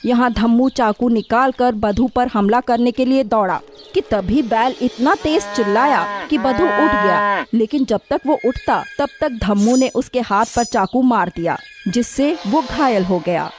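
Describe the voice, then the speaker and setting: A woman with a fairly high voice narrates a scripted story for children. She tells it as a storyteller, with music playing underneath. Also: a little slow. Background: background music.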